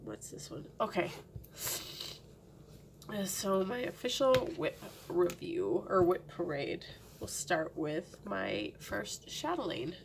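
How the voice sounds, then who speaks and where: Slow, gravelly woman with a cold. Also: on the low side, big pitch swings, slight background noise. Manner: rambling, many ums and restarts; drops to a near-whisper at times. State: sniffly with a cold. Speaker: cross-stitch vlogger. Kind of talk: casual chat to camera. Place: at home.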